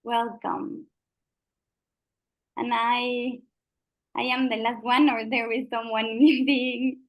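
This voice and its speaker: Slow, hesitant woman. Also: a little high, little pitch movement, muffled recording. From Mexico. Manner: slow, hesitant, many filler pauses. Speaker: founder of a marketing agency. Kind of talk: panel introduction and discussion.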